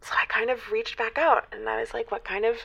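A woman's voice: fairly high pitch, little pitch movement, fairly quick, creaky, fairly bright tone, muffled recording. A woman in her twenties playing a hesitant young graduate calling a radio advice show, rambling and correcting herself in a semi-improvised drama. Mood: hesitant, uneasy. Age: 20s. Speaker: caller. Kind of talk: semi-improvised audio drama, conversational phone-in.

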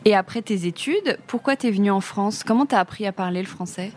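A woman's voice: mid-range voice, brisk, darkish tone. A woman hosting an informal interview, asking conversational questions. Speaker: interviewer, host.

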